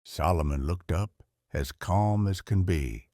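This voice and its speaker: A man with a Southern US accent reading a children's storybook aloud in a folksy, expressive way. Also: deep voice, slow pace, no room sound, studio quality. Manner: folksy, animated.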